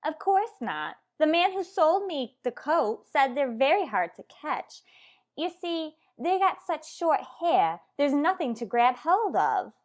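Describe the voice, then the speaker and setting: A woman's voice: sits high, big pitch swings, unhurried. A woman playing a naive, chatty young woman with slangy speech in an old-time radio comedy. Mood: gossipy. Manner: chatty, wide-eyed, slangy. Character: naive gossipy adult woman, tavern owner's daughter.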